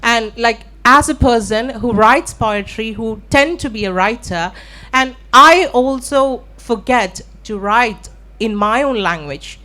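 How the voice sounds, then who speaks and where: A woman from India with an Indian accent, an audience member asking a long, earnest, halting question into a microphone at a live event. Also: a little high, animated, sharp tone. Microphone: shared handheld event microphone.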